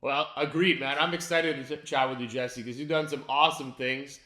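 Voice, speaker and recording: A man's voice: mid-range voice, steady tone, brisk, sharp tone. A man hosting an interview podcast, upbeat and enthusiastic, with frequent fillers and restarts. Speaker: podcast host. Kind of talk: casual interview chat.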